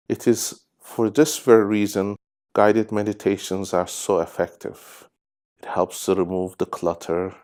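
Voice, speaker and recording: A man with a low, deep voice guides a meditation session. He speaks very slowly, in measured and deliberate phrases. Pauses separate his thoughts as he narrates. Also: dark tone. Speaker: guided meditation host, hypnosis therapist.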